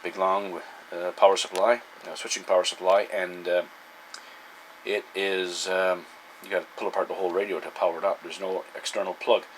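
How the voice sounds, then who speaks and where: A man giving a casual, halting explanation as a hobbyist vlogger, folksy and thinking aloud. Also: deep voice, flat delivery, slight background noise, poor audio.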